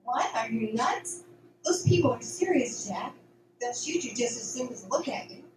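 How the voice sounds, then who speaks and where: A woman's voice: a little high, animated, moderate pace, natural voice. A woman playing a wary, kind-hearted dairy farm hand in an audio drama. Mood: alarmed. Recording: roomy, distant, noisy amateur recording.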